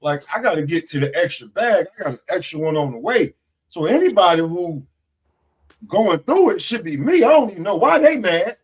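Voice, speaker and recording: A man's voice: high-pitched, big pitch swings, moderate pace, breathy edge. Joking rambling man in his forties. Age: 40s. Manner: joking, rambling, calls others bro. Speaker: stream co-host. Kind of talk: casual live-stream banter.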